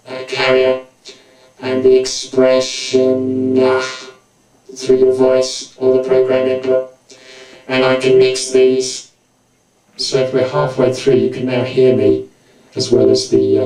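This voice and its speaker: A man demonstrating music gear, speaking through a synth-driven vocoder effect. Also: medium pitch. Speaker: tutorial presenter. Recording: echoey room, loud synthesizer tones.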